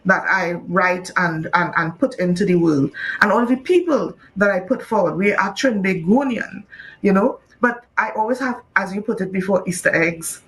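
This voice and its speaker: A woman from Trinidad and Tobago, an author talking casually in an interview, lively and laughing often, with many "you know"s. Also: mid-range voice, normal speed, low-quality recording. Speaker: author guest.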